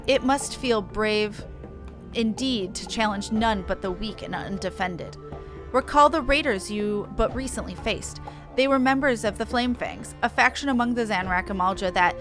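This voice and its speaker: A woman's voice: fairly high pitch, natural voice. Lady doing a snooty prickly warrior beast woman from a video game, scornful, music going behind. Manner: indignant, sneering, formal phrasing. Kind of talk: reading game dialogue in character.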